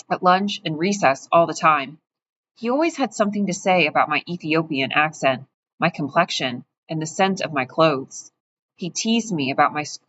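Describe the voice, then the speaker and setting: A woman's voice: low-pitched, fairly bright tone. A woman voicing a resentful, judgmental teenage schoolboy in an audiobook reading, talking bluntly and coldly. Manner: blunt, cold, matter-of-fact. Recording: dull, muffled audio with faint hiss.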